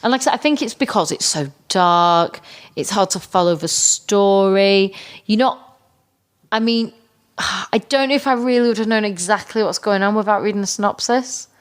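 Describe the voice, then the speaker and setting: A woman's voice: mid-range voice, normal speed, clean tone. A woman co-hosting a casual film discussion, agreeably chiming in as she talks. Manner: agreeable, chiming in on her co-host. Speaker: podcast co-host.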